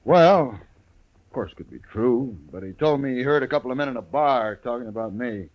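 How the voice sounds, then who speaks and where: An actor playing a hearty, skeptical Old West sheriff in a 1950s radio western, bluff and folksy, with a rural American accent. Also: male voice, mid-range voice, lively intonation, moderate pace, darkish tone, natural voice, poor audio. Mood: amused, skeptical. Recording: old radio recording with noise.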